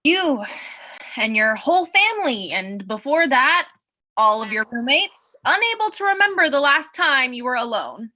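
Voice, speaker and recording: A woman's voice: high-pitched, sing-song, moderate pace, sharp tone, exaggerated voice, low-quality recording. A woman acting a wry, frazzled character stuck in lockdown, in a staged reading of a play.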